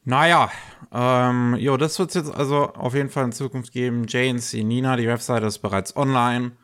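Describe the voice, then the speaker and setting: A man's voice: mid-range voice, steady tone, moderate pace, a bit bright. A man with a cold, hosting a podcast and chatting casually about news, with many fillers and restarts. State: has a cold. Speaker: podcast host.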